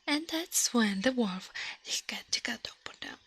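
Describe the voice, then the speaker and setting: A woman whispering as an ASMR livestream host, in a breathy murmur with playful alien roleplay and repeated syllables. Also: on the high side, animated, unhurried, a bit bright, slight background noise.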